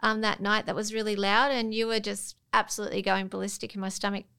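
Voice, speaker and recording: A middle-aged woman, a mother guesting on her daughters' podcast. She tells family stories fondly in a mid-pitched voice. There is a gravelly edge to it, yet the tone comes through smooth and clear. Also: flat delivery, quick pace, a bit bright. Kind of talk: casual storytelling.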